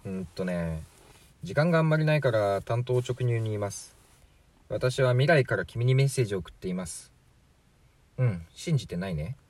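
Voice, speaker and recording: A man's voice: mid-range voice, lively intonation, moderate pace, a little mellow, no echo, natural voice. A man playing a chatty, flippant 43-year-old from the future in a radio drama, glib and matter-of-fact. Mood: calm, matter-of-fact. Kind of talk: amateur radio drama acting.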